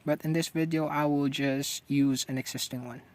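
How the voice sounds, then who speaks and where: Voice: medium pitch, steady tone, normal speed. A tutorial host narrating step-by-step instructions for using a phone app.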